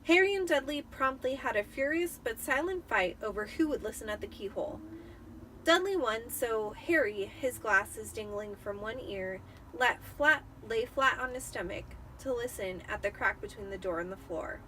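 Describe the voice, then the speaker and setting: A woman's voice: on the high side, animated, normal speed, pushed and bright, recorded from a distance, slight background noise, poor audio. A tipsy woman doing an amateur, dramatic reading of a story as narrator. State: tipsy from wine. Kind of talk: dramatic audiobook-style storytelling.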